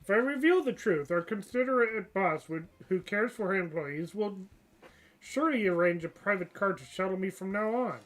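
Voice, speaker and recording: A stuffed-up channel host reading the part of an evasive, dryly self-assured young female lawyer in a game story, giving cool, deadpan excuses. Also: medium pitch, moderate pace, lightly acted, low-quality recording. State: stuffed-up nose.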